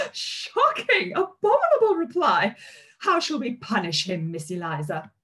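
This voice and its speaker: A woman's voice: high voice, huge pitch swings, takes it slowly, fairly bright tone, full character voice. A woman playing a coy, snobbish young society lady in a period radio play, with an arch, affected manner. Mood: mock outrage, teasing. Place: home kitchen, on a video call. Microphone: video call.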